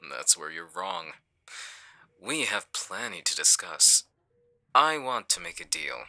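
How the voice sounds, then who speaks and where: A man playing a calculating, menacing villain in an audio roleplay, with a smug, condescending, deliberate delivery. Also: sits low, very animated, sharp tone, full character voice. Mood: smug, taunting. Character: smooth-talking male villain, calculating, menacing leader. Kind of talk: audio roleplay acting on a phone call.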